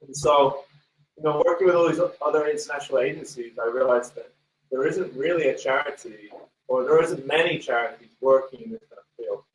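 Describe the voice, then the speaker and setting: A man talking slowly and measuredly as an interview guest about his nonprofit work. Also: medium pitch. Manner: slow and measured. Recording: echoey room, distant from mic.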